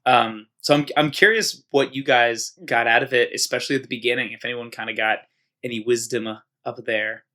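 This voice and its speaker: A man's voice: mid-range voice, fairly even intonation, fairly quick, sharp tone. A man co-hosting a casual podcast, talking in a playful, rambling way. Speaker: podcast co-host. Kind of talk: casual chat.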